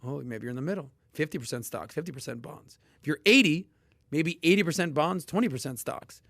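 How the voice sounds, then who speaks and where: A man hosting a casual podcast and explaining investing in an emphatic, animated way, with sudden loud bursts. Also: on the high side, brisk, no room sound, studio quality. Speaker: podcast host.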